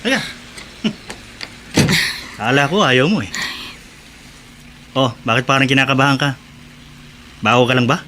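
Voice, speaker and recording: A man's voice: medium pitch, animated, measured pace, pauses often, bright, forceful tone, slight background noise. An actor playing an eager, pushy man out for company in a Filipino radio drama, insistent and coaxing. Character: eager, pushy male customer in a car, boastful with money.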